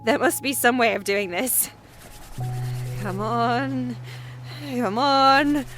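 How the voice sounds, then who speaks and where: A woman's voice: fairly high pitch, slow pace. A woman playing an injured, despairing castaway alone on an island, speaking a raw, weary diary monologue in an audio drama. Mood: frantic, desperate. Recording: music behind the voice.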